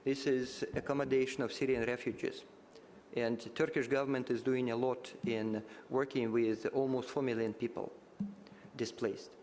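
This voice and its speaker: A man whose first language is Russian, giving formal remarks in English at a conference side event, halting and measured with frequent pauses. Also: medium pitch, flat delivery, darkish tone, slight background noise. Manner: halting, many filler sounds and restarts, measured. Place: large conference hall. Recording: hall reverb with faint ambience.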